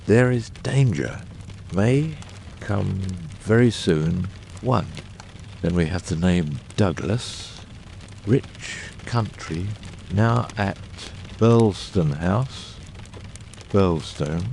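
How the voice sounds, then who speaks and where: Man acting eccentric detective, very deep voice, gravelly edge, precise and erudite, theatrical, audiobook drama. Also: a little mellow, slight background noise, exaggerated voice. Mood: excited, triumphant. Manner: precise, erudite, theatrical delivery with deliberate pauses. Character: brilliant, eccentric middle-aged male detective, exacting and theatrical.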